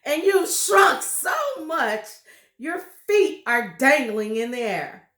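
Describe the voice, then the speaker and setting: A woman's voice: sits high, big pitch swings, takes it slowly, fairly bright tone, reverberant, voice pushed up, exaggerated voice. A woman reading as a jeering, nasty old husband. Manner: taunting, mock-alarmed, jeering. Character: nasty gloating old husband, cruel trickster.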